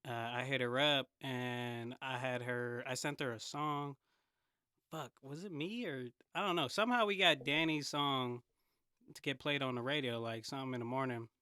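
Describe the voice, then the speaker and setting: A man's voice: medium pitch, moderate pace, sharp tone, smooth, no echo, clean studio sound. A man from the San Francisco Bay Area telling stories as a podcast guest in casual, slangy talk with some swearing. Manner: slangy, laid-back phrasing, swears casually. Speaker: podcast guest, hip hop artist. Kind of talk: casual conversational storytelling.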